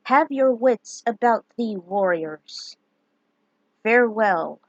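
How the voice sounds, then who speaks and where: A woman's voice: a little high, lively intonation, slow, no room sound, highs cut off. A woman voicing a solemn old wizard who counsels warriors in archaic speech in a fantasy story.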